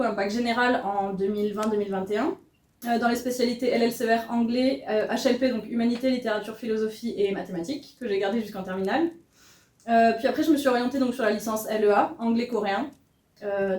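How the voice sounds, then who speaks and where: A woman's voice: fairly high pitch, fast talker, darkish tone. A woman in her early twenties from southern France, a master's student, chatting about her studies and laughing often. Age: 20s. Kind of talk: casual podcast chat. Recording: echoey room, mic far off.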